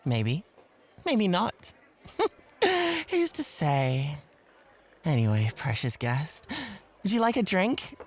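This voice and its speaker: A woman's voice: deep voice, wildly swooping pitch, slow, pauses often, a little mellow, exaggerated voice. A woman playing a possessive, coy club host in an ASMR roleplay, teasing and easily flustered, with theatrical phrasing. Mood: teasing, smug.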